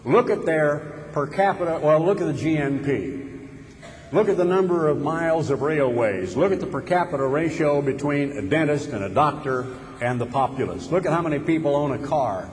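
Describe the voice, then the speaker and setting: A man's voice: on the high side, expressive, moderate pace. A man preaching a sermon, building his points with rhetorical questions and sudden emphatic rises. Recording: long hall reverb with faint ambient noise.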